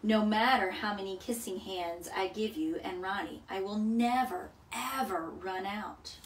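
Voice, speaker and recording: Gentle mother raccoon, played by a woman, warm motherly tone, tender storybook character, echoey room, distant mic. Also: mid-range voice, unhurried, a little hiss or hum, close to an everyday voice. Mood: soothing, loving.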